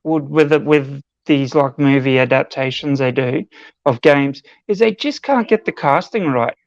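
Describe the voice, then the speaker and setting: A man's voice: medium pitch, normal speed, no room sound, dull audio. A man co-hosting a podcast, airing his gripes and opinions in a casual, conversational way. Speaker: podcast co-host. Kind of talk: casual panel discussion.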